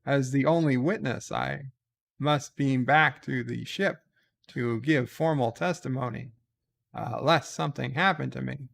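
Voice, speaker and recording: A man voicing a coolly logical alien officer with a stiff, formal, measured manner in a comic story. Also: mid-range voice, creaky, a bit bright, heavily acted.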